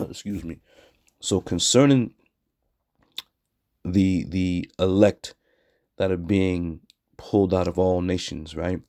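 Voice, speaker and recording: Hoarse guy preaching, real deep voice, blunt and scornful, keeps saying all right. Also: slow, long pauses, darkish tone, no echo. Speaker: teacher. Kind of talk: street preaching scripture lesson.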